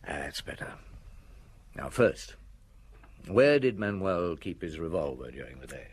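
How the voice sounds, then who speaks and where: Middle-aged police chief, radio play, patient, shrewd, lower-pitched, animated intonation, measured probing. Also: male voice, breathy edge, heavily acted. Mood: gently coaxing. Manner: measured, probing, with thoughtful hums and pauses. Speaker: actor in radio drama. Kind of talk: radio drama acting.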